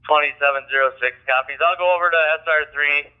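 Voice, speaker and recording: A man's voice: fairly high pitch, fairly even intonation, fast, clean tone. A male police officer talking in radio traffic over a narrow-band police radio.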